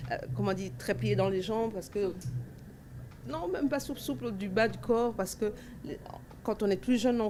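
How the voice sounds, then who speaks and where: Woman, 40s, from Cameroon; halting, reflective interviewee, mid pitch, noisy outdoor ambience. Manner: thoughtful, rambling with many fillers and restarts. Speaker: dancer and festival organizer. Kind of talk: interview answers. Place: outdoor festival grounds. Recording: festival ambience noise behind.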